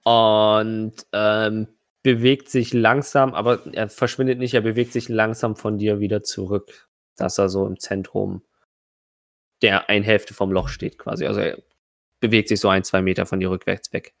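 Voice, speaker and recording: A man running a tabletop role-playing game, improvising narration and casual rulings in a halting, hesitant way. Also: on the low side, little pitch movement, vocal fry, no echo, studio quality. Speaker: game master.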